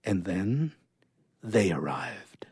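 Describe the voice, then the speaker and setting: A man performing a live reading of short stories, with expressive delivery and casual asides. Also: low-pitched, extremely slow, very noisy.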